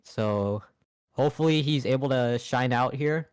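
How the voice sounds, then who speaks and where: A man's voice: medium pitch, a little slow, darkish tone, clean tone. A man co-casting an esports match live as his voice wears out, rambling and filling gaps with "you know". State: losing his voice. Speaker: esports co-caster.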